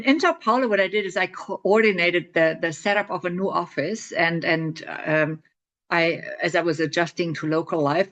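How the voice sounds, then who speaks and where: A woman's voice: fairly low pitch, a bit bright. A middle-aged woman author telling stories about her own life in a chatty way. She laughs often and restarts her sentences with repeated words. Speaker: author promoting her book. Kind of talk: casual spoken storytelling to camera.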